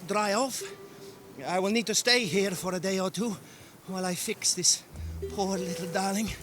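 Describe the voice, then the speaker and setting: High, bright-voiced grumbling seafarer. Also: male voice, a little hiss or hum. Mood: friendly. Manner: theatrical, aggrieved. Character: excitable, grumbling seafarer devoted to sea god. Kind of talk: cinematic game dialogue.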